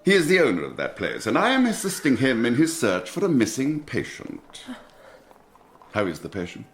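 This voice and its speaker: An actor playing a suave, courtly gentleman villain in an audio drama, florid and theatrical, with menace under the courtesy. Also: mid-range voice, wildly swooping pitch, normal speed, a little hiss or hum. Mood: smug politeness.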